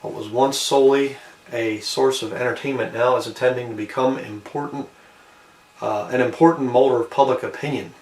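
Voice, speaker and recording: A man's voice: mid-range voice, fairly even intonation, moderate pace, recorded from a distance. Male video host, informal and musing as he reads and comments, roomy sound, set back from the mic. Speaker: video host reading and commenting on an old newspaper. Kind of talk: informal commentary mixed with reading aloud.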